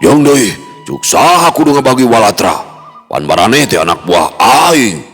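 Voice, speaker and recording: Guy doing a bossy angry leader of envoys in a folk tale, gruff scolding, pitched way up from the storyteller, big hall reverb, music. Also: big pitch swings, normal speed, a bit bright. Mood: indignant. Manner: gruff scolding, coarse commanding speech. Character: angry, domineering male leader of envoys, foul-mouthed.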